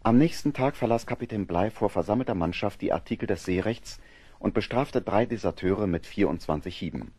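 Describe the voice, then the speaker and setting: A man narrating an audio drama from a script in a measured, factual storytelling manner. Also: on the low side, animated, dark tone, slight background noise.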